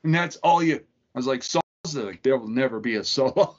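Laughing, swearing podcast co-host. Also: male voice, medium pitch, lively intonation, brisk, lo-fi sound. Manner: loose, laughing, swearing banter. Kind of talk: casual profane chat. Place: home room, remote video call.

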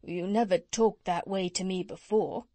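A woman voicing a gentle, honest, curious male doctor in a storybook, with a mild, earnest manner. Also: medium pitch, fairly even intonation, unhurried, no room sound, natural voice. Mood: astonished.

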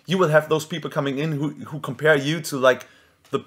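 German-accented man, halting speech. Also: a little high, steady tone. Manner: halting, searches for words. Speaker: podcast host, band member. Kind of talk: casual podcast chat. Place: small room with guitars on the wall.

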